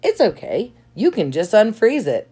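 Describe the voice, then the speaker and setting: Earnest young princess, pitched up, as a woman reads her. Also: wildly swooping pitch, moderate pace, warm tone, husky. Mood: reassuring. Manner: emotional, earnest delivery. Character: spirited young princess, impulsive and pleading. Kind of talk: storybook read-aloud dialogue. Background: background music.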